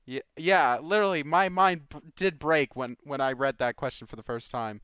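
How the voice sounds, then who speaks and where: A man's voice: on the high side, normal speed, fairly bright tone, clear, resonant tone, dry recording, clean studio sound. A man hosting a live Q&A podcast in a casual, informal way, reading out questions and commenting loosely. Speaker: host of a live community Q&A show.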